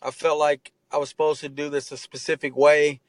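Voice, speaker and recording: A man's voice: fairly high pitch, flat delivery, a little fast, no room sound. Earnest, heartfelt man around forty. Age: 40s. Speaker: interview guest, artist and tattoo artist. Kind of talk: candid conversational interview.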